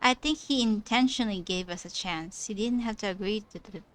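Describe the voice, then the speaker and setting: A woman streamer reacting aloud to a game cutscene, excited and chatty. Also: medium pitch, brisk, poor audio. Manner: expressive, reacts aloud, voices along with characters. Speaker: gameplay streamer.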